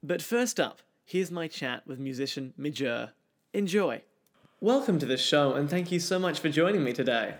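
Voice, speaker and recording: A man's voice: a little high, expressive, moderate pace. A man hosting an interview show, introducing guests and asking prepared questions. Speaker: podcast host and interviewer.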